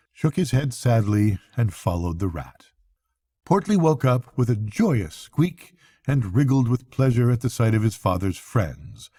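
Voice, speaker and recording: A man's voice: mid-range voice, dark tone, no room sound, studio quality. An older man reading a bedtime story aloud as a storyteller, in a narrator's voice.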